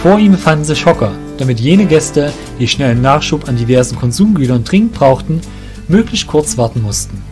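A man's voice: on the high side, animated, fairly quick. Guy narrating a fantasy audio play, music behind him.

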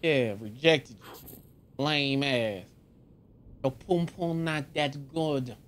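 A man's voice: medium pitch, drawn-out words, slight background noise. A man with an American accent giving casual let's play reactions as a gaming streamer, blunt and slangy.

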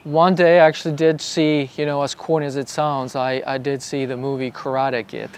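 A man's voice: on the high side, little pitch movement, normal speed. A middle-aged man from Czechoslovakia, explaining patiently in an outdoor garden with many fillers and restarts. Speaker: bonsai artist. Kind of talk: casual explanation. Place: outdoor garden under a wooden pergola.

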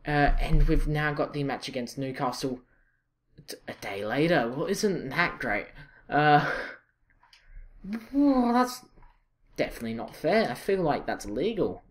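A young Scottish man giving casual, excitable commentary over a football video game, chatty and full of fillers and self-corrections. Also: on the high side, measured pace. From Scotland. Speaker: gaming channel host.

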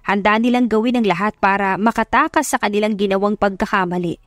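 A woman's voice: medium pitch, fast talker, fairly bright tone, dry recording, studio quality, faint music behind. A woman narrator reading a scripted first-person love story as a dramatic audiobook.

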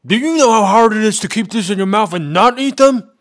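A man's voice: high-pitched, expressive, brisk, fairly bright tone. A man acting comic dub characters in a drawling, theatrical manner.

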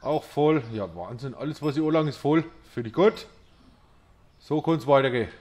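A man's voice: medium pitch, animated, normal speed, darkish tone. A man with a German accent giving casual let's-play commentary, reading prices aloud in short clipped phrases. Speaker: gameplay commentator.

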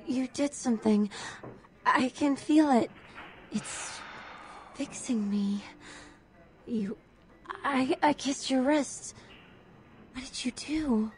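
Injured frightened young woman, high-pitched, drawn-out halting speech, pained, pleading, video game, noisy ambience. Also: darkish tone. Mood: dazed, frightened. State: in pain, weak. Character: badly wounded, frightened young woman in pain. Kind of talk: video game character dialogue.

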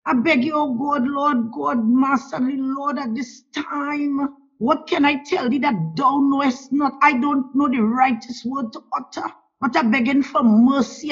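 A woman leading a fervent spoken prayer, pleading and repeating calls to God and the Lord between phrases. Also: sits high. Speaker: prayer leader. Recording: dull lo-fi recording.

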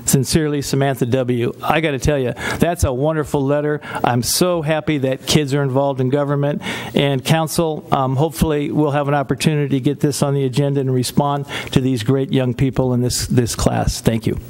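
A man's voice: medium pitch, steady tone, a little fast. An older man in his sixties, a city council member, reading a child's letter aloud at a meeting with amused asides, speaking into a desk microphone in a council chamber. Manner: warm, amused asides between read lines. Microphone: desk gooseneck mic.

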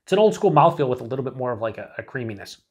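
Husky-voiced man reviewing a beer. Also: medium pitch, sing-song, very fast, darkish tone. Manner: chatty, thinking aloud with many fillers and restarts. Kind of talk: casual beer review to camera. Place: small indoor room with shelves of beer cans.

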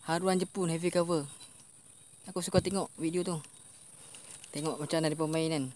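A young man with a Malaysian Malay accent giving casual commentary while fishing outdoors, bursting into excited shouts when a fish strikes. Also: on the high side, brisk, slight background noise. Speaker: fishing vlogger. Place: outdoors by a swampy plantation stream.

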